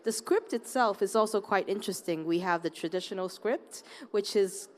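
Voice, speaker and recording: A woman's voice: mid-range voice, normal speed. A woman from Hong Kong speaking on a conference panel, earnest, often restarting and repeating words. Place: conference open area.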